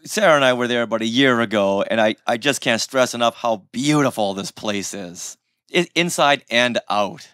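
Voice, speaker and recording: A man with a mid-pitched voice, recorded close and dry. He chats casually as a podcast co-host, asking questions in a playful, joking manner. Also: lively intonation, normal speed, fairly bright tone, no room sound. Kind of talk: casual interview chat.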